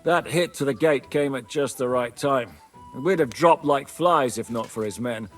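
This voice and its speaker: An actor playing a practical, plain-spoken leader of a medieval band in a naturalistic game cutscene. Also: male voice, medium pitch, moderate pace, fairly bright tone, soft background music, lightly acted. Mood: wry, conversational. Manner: blunt, matter-of-fact.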